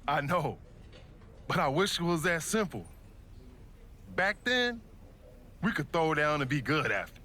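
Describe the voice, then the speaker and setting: A man's voice: on the high side, big pitch swings, a little slow, pauses often, pushed and bright, heavily acted. A man playing an earnest American wrestler pleading with his brother in slangy video game dialogue.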